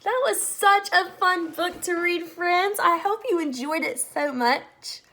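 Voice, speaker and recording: A woman's voice: very high voice, slow, sharp tone. A woman reading a picture book aloud for children as a storyteller, expressively, talking directly to her young listeners. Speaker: read-aloud host, storyteller.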